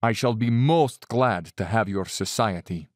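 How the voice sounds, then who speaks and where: An actor in an audio drama playing an urbane Cossack general and hunter, courtly and self-satisfied, with quiet menace. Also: male voice, fairly low pitch, measured pace, no echo, clean studio sound, lightly acted. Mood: relishing, genial.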